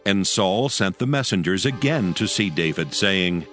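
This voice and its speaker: A man's voice: sits low, lively intonation, measured pace, fairly bright tone. A man narrating scripture as a solemn storyteller, reading from a script. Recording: studio narration over music bed. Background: background music.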